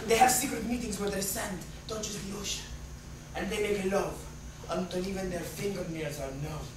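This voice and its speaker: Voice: mid-range voice, fairly even intonation, normal speed, natural voice. An amateur actor playing a passionate, indignant romance writer in a stage play, theatrical and florid, mixing in Spanish words. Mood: romantic, dramatic. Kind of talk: amateur dramatic reading of a play. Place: echoey room, far from the mic. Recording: very echoey, distant, noisy room recording.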